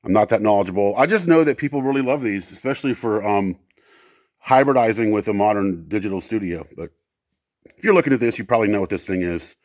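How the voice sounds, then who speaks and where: Man, lowish pitch, dry sound, casual demo talk, unscripted, halting and rambling. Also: a little mellow, no echo. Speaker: presenter testing vintage audio gear.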